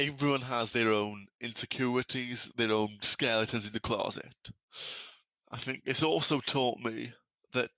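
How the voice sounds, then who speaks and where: Young welsh guy who stammers a bit, bright voice, mid pitch, dry close sound. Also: dry recording, poor audio. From South Wales. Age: young adult. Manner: stammers on some words, earnest and reflective. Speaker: guest. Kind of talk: podcast interview.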